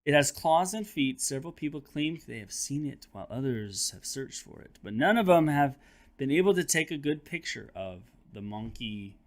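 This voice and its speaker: Expressive man reading aloud to children. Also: mid-range voice. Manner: expressive, teacherly, pauses to address listeners with questions. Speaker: third grade teacher reading aloud for children. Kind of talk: read-aloud of a children's nonfiction book.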